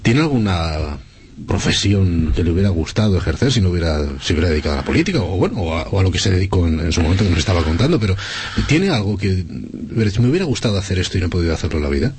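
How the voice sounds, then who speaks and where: A man interviewing a guest, asking questions quickly and affirming often. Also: deep voice, big pitch swings, darkish tone, a little hiss or hum. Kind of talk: interview conversation.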